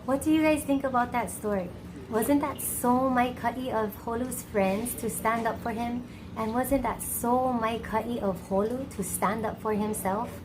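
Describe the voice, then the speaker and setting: A woman from Hawaii hosting a children's storytime, expressive and encouraging, speaking colloquially to young listeners. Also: fairly high pitch, a little slow, noisy background.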